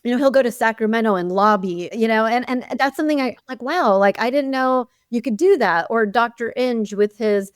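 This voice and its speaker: Smooth-voiced woman, Arabic native speaker. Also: on the high side, fairly quick, hardly any pauses, dry recording, clean studio sound. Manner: animated storytelling with frequent "you know" and laughs. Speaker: guest, chief dental officer. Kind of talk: conversational interview.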